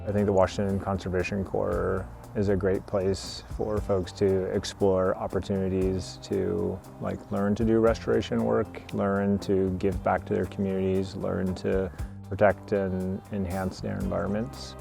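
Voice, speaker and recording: Gravelly man in his fifties. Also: deep voice, monotone, a little slow, over music. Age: 50s. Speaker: crew supervisor. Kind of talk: documentary interview.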